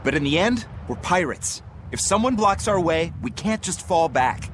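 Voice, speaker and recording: A man's voice: a little high, animated, normal speed, a little hiss or hum, lightly acted. An actor playing a brash, loyal pirate crewman in a video game, talking in a casual, cocky way. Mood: loyal, firm. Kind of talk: English video game dub acting. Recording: loud music behind voice.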